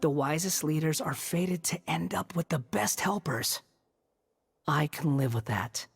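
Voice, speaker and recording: A man's voice: on the high side, unhurried, vocal fry, slightly rough, heavily acted. An actor voicing a bitter, proud young male former villain in a video game, with a dry, aloof manner. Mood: sardonic. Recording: background music under voice.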